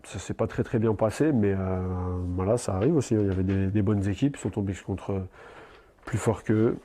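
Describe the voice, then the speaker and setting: A footballer answering interview questions in a calm, plain-spoken way. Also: male voice, fairly low pitch, moderate pace.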